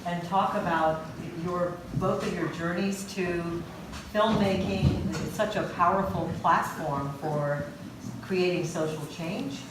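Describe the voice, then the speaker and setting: Low-voiced lady hosting a festival panel, lots of ums and restarts, loud, super echoey far-off mic, noisy room. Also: fairly even intonation. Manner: halting, with many fillers and restarts; says she has a loud voice. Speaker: panel moderator. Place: festival screening venue. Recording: echoey room, distant mic, background noise.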